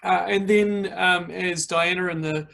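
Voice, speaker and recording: A man with a New Zealand accent, a winemaker presenting an online tasting over a video call and talking in a relaxed way, full of fillers. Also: high voice, measured pace, gravelly.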